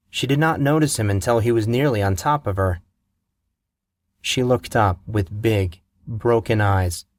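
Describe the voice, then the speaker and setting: A man narrating a fantasy novel in a measured, steady storytelling manner, recorded on a close mic. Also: on the low side, no room sound.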